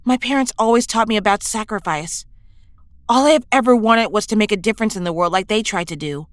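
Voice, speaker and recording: A woman's voice: mid-range voice, brisk, no room sound, clean studio sound, close to an everyday voice. A woman acting an earnest, idealistic young superhero in an audio drama, reflective and sincere. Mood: earnest, pleading.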